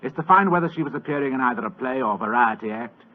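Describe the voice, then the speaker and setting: An actor playing a fat, lazy, superior British government official in a 1940s radio drama, with a languid, pompous, condescending manner. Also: male voice, medium pitch, animated, fast talker, lightly acted. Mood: languid, dry. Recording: old muffled 1940s radio recording.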